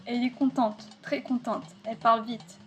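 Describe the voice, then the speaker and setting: Woman as storyteller in a family drama, highish voice, short scripted lines, faint music. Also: flat delivery, normal speed, a bit bright, close to an everyday voice. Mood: narrating.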